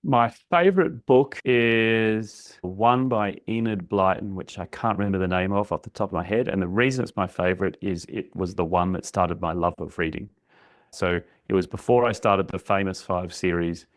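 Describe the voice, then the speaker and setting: Deep-voiced man, dry sound. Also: normal speed, no echo. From Queensland, Australia. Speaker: podcast guest. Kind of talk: casual interview answers.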